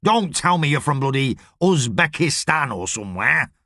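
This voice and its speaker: A man's voice: on the high side, very animated, moderate pace, bright, forceful tone, no echo, studio quality, heavily acted. A man voicing an irritable, shady middle-aged boss in an audiobook, blunt and sweary. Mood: suspicious. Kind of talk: audiobook character voice.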